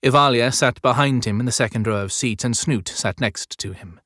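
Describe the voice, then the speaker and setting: A man narrating a fantasy audiobook as scripted storytelling, with measured, clearly phrased delivery. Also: mid-range voice, a bit bright, dry recording, clean studio sound.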